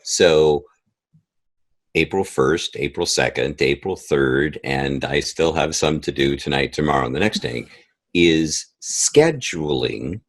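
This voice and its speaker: A man's voice: very deep voice, slow pace. A man answering as a guest in a conversational interview, explaining his creative work. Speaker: guest, audio drama creator and composer.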